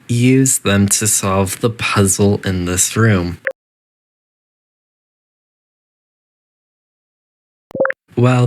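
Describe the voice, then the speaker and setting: Casting: performer to play game AI announcer, medium pitch, courteous but coldly menacing, deadpan, formal, measured delivery. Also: slight background noise, natural voice. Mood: calm, instructive. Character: polite, coolly menacing test-facility AI announcer. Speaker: facility announcer guiding test subjects.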